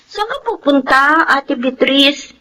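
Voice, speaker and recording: A woman acting a sweet, gentle youngest sister in a Filipino radio drama, with a girlish, unhurried delivery. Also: sits high, lively intonation, a little hiss or hum, full character voice. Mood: sweet, curious.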